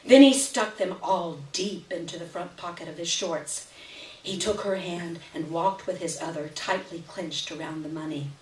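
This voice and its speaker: Woman, slow-paced story reader, literary narration, live event, echoey, distant mic. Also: lowish voice. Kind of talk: live dramatic reading of literary fiction. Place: live reading event before an audience. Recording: roomy, distant, hissy live recording.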